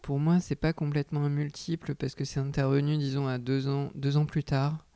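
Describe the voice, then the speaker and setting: A man's voice: fairly high pitch, little pitch movement, fairly quick, gravelly, dark tone, smooth, no echo. A man speaking as a textile artist in an interview, giving reflective answers and often hesitating while he searches for words.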